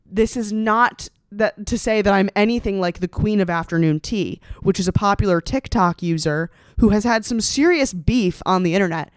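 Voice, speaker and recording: A young American woman hosts a casual comedy podcast, speaking in a smooth, clean voice. Her delivery is slangy and sarcastic, leaning hard on key words for drama. The sound is dry and close, with no room on it. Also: medium pitch, normal speed, hardly any pauses, no echo. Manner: animated storytelling, slangy, sarcastic, dramatic emphasis.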